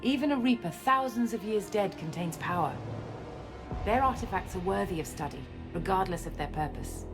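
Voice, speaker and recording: A woman playing a guarded, self-assured scientist and space operative in a video game, explaining things in a measured way. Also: mid-range voice, lively intonation, lightly acted. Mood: grave. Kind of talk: video game cutscene acting. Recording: music under the dialogue.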